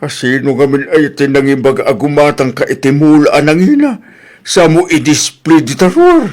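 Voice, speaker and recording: Male actor as older husband, Ilocano accent, swooping pitch, skeptical, blunt, exasperated, comedy drama. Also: expressive, normal speed, darkish tone, natural voice.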